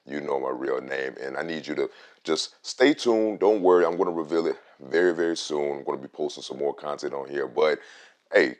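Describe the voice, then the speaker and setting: A man with a very deep, rich voice, a radio host telling a casual story about his own voice. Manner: animated, swings loud on emphasis.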